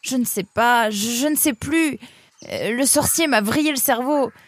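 A woman's voice: fairly high pitch, a little slow, slightly rough, no room sound, pitched above the performer's own voice. A woman voicing an easily flustered, indignant young male fox, exclaiming and complaining in a children's audio story. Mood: confused, flustered. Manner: whiny, exclamatory, hesitant when confused.

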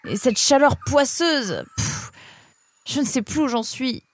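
A woman's voice: high voice, expressive, a little slow, pitched above the performer's own voice, exaggerated voice. A woman voicing an easily flustered, indignant young male fox, exclaiming and complaining in a children's audio story. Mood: confused, flustered. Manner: whiny, exclamatory, hesitant when confused.

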